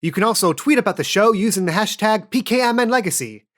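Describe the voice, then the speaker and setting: Playful podcast game master, mid-pitched voice. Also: lively intonation, quick pace, fairly bright tone. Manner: playful, jokey. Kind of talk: scripted-casual announcement and game narration.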